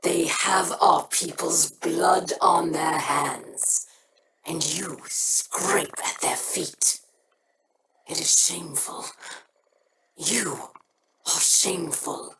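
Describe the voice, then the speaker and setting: A vengeful low alien warrior. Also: female voice, fairly even intonation, gravelly, full character voice. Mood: menacing. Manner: menacing, slow. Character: vengeful alien warrior woman, proud, hard. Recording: roomy echo with noise.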